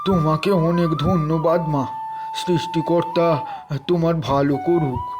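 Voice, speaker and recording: A man's voice: on the high side, steady tone, measured pace, close to an everyday voice. A man playing a sly, pleading old village man who begs, in a cartoon story. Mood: pitiful, grateful. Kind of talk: Bengali cartoon story dialogue. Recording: music behind the voice.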